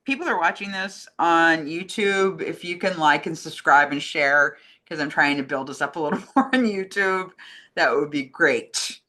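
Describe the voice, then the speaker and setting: A woman's voice: low voice, moderate pace, pushed and bright. A woman co-hosting a casual, conversational chat about films and television. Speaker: co-host, communications director.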